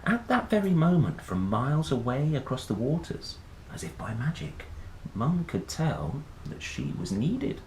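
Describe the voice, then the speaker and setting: Guy reading a kids book out loud, playful, voice swoops around, echoey room. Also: mid-range voice. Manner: playful, expressive, dramatic pauses and gasps. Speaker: host reading picture books aloud. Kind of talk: animated children's storybook read-aloud with chatty asides. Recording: roomy echo, faint background noise.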